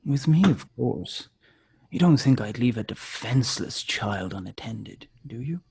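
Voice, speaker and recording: Man performing a sinister kidnapper, polite but taunting, gravelly fry, unhurried, screenplay drama. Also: mid-range voice, lively intonation, darkish tone, full character voice. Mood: coolly menacing. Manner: slow, taunting, mock-courteous. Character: menacing supernatural male kidnapper, sinisterly polite. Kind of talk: screenplay table read.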